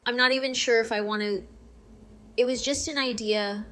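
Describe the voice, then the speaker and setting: A woman's voice: a little high, steady tone, moderate pace, bright, forceful tone. An actress playing a shy, unsure teenage girl in an audio drama, talking in a hesitant, casual, understated way.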